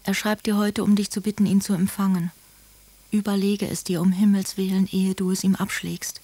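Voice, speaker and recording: A woman's voice: mid-range voice, little pitch movement, normal speed, warm tone, no room sound, lightly acted. An actress playing a worried sister who confides her concern in letters in a radio drama. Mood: urging. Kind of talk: German radio drama, letters read in character.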